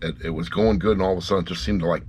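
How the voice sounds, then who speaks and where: Low-voiced man rambling casually, noisy background. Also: steady tone, very fast, a little mellow. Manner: repeats phrases for emphasis, blunt, wry. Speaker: audiobook reviewer. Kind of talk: casual rambling review to camera.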